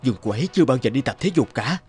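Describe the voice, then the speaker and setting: Philandering office boss, middle-aged, smug and distrustful, animated series. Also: male voice, medium pitch, racing, slightly rough, soft background music. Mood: incredulous. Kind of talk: cartoon dialogue.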